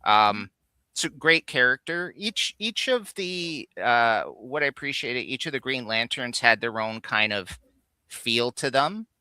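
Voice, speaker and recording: A man's voice: mid-range voice, expressive, measured pace, sharp tone, smooth, no room sound, rough recording. A man in casual, explanatory conversation as a co-host on a geek talk stream. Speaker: guest co-host on a geek countdown livestream. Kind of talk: casual chat.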